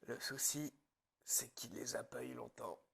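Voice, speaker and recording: Man playing a slow, gravelly, menacing psychopath. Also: medium pitch, steady tone, heavily acted. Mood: darkly casual. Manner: unhurried, hushed, dropping into whispers, darkly casual. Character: calm, menacing male psychopathic killer, falsely reassuring his captive. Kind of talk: ASMR roleplay monologue.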